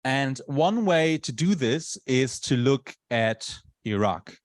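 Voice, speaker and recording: A male lecturer whose first language is not English speaks in a relaxed, conversational way to a class. He often tags his points with a quick question. His voice sits at a middle pitch, and the recording is dry and close. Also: no room sound. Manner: conversational, frequent tag questions. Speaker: university lecturer. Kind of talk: university lecture. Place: lecture room.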